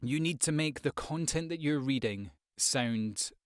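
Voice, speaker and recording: A man's voice: medium pitch, measured pace, no echo. A man giving a conversational, rambling tutorial as a voice-over coach, speaking expressively close to the microphone. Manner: rambling, expressive with acted examples. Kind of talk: conversational tutorial talk to viewers.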